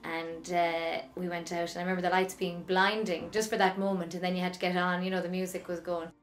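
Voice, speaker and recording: A woman's voice: on the low side, little pitch movement, a little fast, soft background music. A woman recalling childhood memories in a conversational interview. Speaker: former child pantomime performer. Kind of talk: interview recollection.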